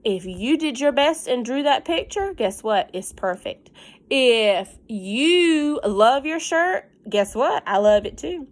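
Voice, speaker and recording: Slow-paced, higher-voiced woman teacher reading to children. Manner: simple, emphatic, encouraging talk aimed at young children. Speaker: teacher reading aloud to young pupils. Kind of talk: animated picture-book read-aloud with warm asides to children.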